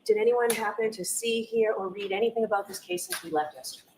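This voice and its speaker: A woman's voice: mid-range voice, fairly even intonation, fast talker, slight background noise. A woman judge giving formal, explanatory instructions to a jury in court.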